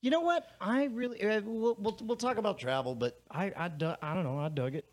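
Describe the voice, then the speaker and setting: A man co-hosting a casual chat podcast, halting and hesitant. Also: high-pitched.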